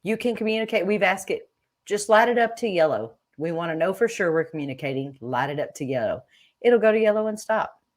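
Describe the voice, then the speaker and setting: A woman's voice: on the low side, expressive, brisk. A woman co-hosting a show, explaining things in emphatic, folksy, casual chat. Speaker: co-host of a paranormal show.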